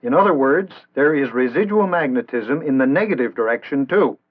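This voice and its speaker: An American man reading scripted instructional narration in a measured, formal, didactic way, in the style of an early 1960s training film. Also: mid-range voice, expressive. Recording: tinny band-limited vintage 1960s film soundtrack.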